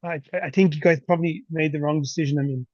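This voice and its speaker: A man's voice: fairly high pitch, fast, no room sound. Forty-something man on a dull, dry call line. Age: 40s. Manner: relaxed, chatty, laughs easily. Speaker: podcast host. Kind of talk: casual conversation. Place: home, on a remote call.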